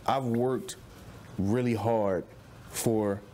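Guy almost 40, chef chatting casually as a guest, mellow voice, bit of noise behind him. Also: lowish voice, steady tone, very slow. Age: late 30s, nearly 40. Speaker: celebrity chef and caterer, guest. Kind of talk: casual interview chat.

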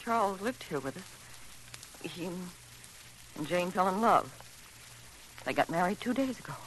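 A woman acting a polite, flustered middle-aged mother, halting and pleading, in an old-time radio drama. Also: on the low side, lively intonation. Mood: stunned, grieving. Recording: faintly noisy vintage radio recording.

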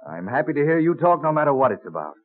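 An actor playing a modest, plain-spoken and enthusiastic colonial botanist in a vintage radio drama. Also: male voice, a little high, big pitch swings, fast, background noise. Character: modest, hearty colonial botanist and plant collector. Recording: muffled, narrow-band old radio recording.

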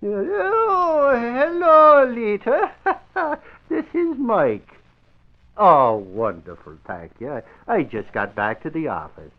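A man's voice: extremely high pitch, sing-song, takes it slowly, full character voice. An actor playing a vain, bumbling, girl-struck newspaper reporter who boasts and stammers, in old-time radio comedy style. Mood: giddy. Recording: muffled old radio recording.

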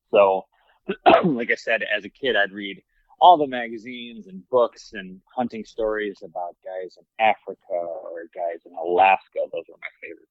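A man's voice: fairly low pitch, dry recording, narrow, tinny audio. A man guesting on a hunting podcast, talking in an easygoing, hesitant way with lots of ums and likes. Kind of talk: casual podcast conversation.

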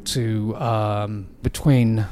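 An Australian man in his fifties, a radio DJ telling animated, self-deprecating stories about his career in a relaxed interview in a radio studio. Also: on the low side, drawn-out words, slight background noise. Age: 50s. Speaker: radio DJ guest. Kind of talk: casual interview storytelling.

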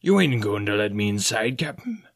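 A man's voice: fairly low pitch, lively intonation, normal speed, gravelly, a bit bright, slightly rough, exaggerated voice. A man voicing a wily, jovial one-legged old male pirate, talking in a wheedling, mock-polite way.

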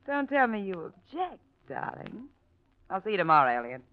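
A woman acting a cool, calculating wife in an old-time radio drama, with theatrical, biting sarcasm and a bright, sharp voice. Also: medium pitch, very animated, normal speed, no echo, natural voice. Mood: breezy, mocking. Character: cool, calculating, mocking, money-minded wife. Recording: dull, lo-fi old radio recording.

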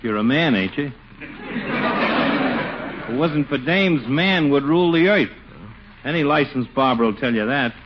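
An actor playing a cocky, stubborn small-town barber, wisecracking in folksy slang in old radio comedy style. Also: male voice, medium pitch, very animated, a little slow, long pauses, over a noisy crowd. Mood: chummy, teasing. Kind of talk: 1940s-50s radio comedy acting. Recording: dull, faintly noisy old radio recording.

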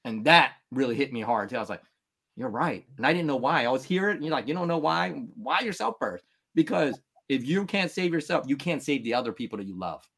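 A man's voice: medium pitch, animated, brisk, fairly bright tone, husky. A man in his thirties, born in Vietnam, talking as a podcast guest in an earnest, emphatic, motivational way. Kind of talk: candid interview talk.

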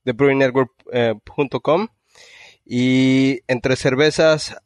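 A man's voice: medium pitch, steady tone, takes it slowly, dry recording. Easygoing Mexican man co-hosting. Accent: Mexican Spanish. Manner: easygoing, filler-heavy. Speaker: podcast co-host. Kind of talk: casual podcast chat.

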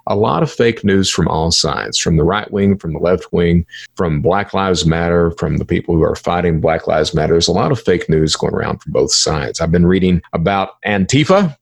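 A man's voice: deep voice, moderate pace, gravelly, slightly rough. A middle-aged man delivering an informal solo podcast monologue as host, in a theatrical, folksy and emphatic manner with rhetorical questions.

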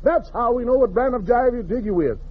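High voiced guy doing 1940s hepcat radio emcee jive, muffled old broadcast, music behind. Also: brisk, slightly rough. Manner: rhyming, slangy hepcat showmanship. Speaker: radio show host.

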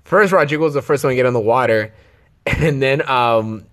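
A man's voice: mid-range voice, fairly quick. Joking, profane young man chatting. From Los Angeles area. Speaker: vlogger. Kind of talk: casual chat.

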